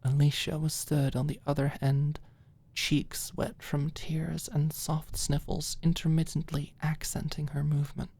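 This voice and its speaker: Low, slow, creaky woman narrator. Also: little pitch movement, mellow. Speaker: audiobook narrator. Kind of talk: scripted story narration, ASMR audiobook.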